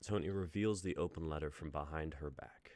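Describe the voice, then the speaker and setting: A man's voice: extremely low pitch, fairly quick, darkish tone, no echo. A man reading out the stage directions of a script he wrote.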